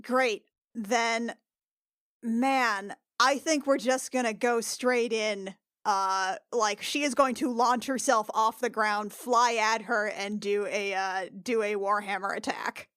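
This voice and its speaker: A woman with a fairly high voice, recorded dry and without room sound. She talks casually as a tabletop player, improvising her narration in halting bursts and thinking aloud with the odd swear word. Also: fairly even intonation, fairly bright tone, clean tone, no echo. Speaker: player in a tabletop RPG podcast.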